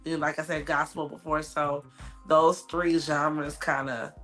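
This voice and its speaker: A woman's voice: deep voice, flat delivery, measured pace, soft background music. A young adult woman, a musician, chatting openly in a casual podcast interview and laughing often. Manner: laughs often, warm and open. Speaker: guest musician.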